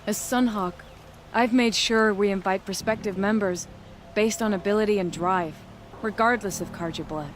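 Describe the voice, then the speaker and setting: An actress playing a proud, determined young woman hunter and leader in a video game, speaking in measured, formal phrases. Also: medium pitch, a little hiss or hum, close to an everyday voice. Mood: resolute. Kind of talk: dramatic video game acting.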